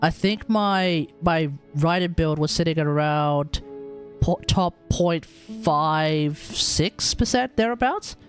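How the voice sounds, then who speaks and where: A man giving casual livestream commentary on a game, often halting and repeating himself. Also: fairly high pitch. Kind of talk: casual live stream commentary. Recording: game music faintly behind.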